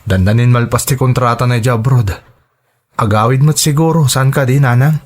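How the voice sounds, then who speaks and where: A man's voice: medium pitch, normal speed, a little mellow, close to an everyday voice. A man playing a regretful husband and son who questions and pleads in an audio drama. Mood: anxious, regretful. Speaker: voice actor. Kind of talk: Ilocano audio drama acting.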